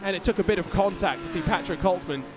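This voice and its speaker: A man's voice: high voice, fast. A man giving live sim racing commentary, chatty and rambling, with fillers and restarts.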